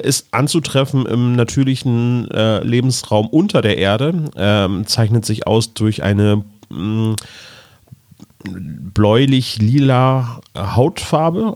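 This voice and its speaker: Low-voiced man explaining casually, dry sound. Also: darkish tone, no echo. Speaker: podcast co-host.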